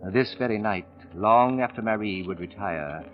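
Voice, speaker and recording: Actor as a suave, low-voiced theatrical crime writer. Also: male voice, close to an everyday voice. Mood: ominous, suspenseful. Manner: theatrical, precise, savoring pauses and hesitations. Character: suave, self-satisfied male crime writer recounting a mystery. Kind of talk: 1940s radio mystery acting. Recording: dull old radio recording with music.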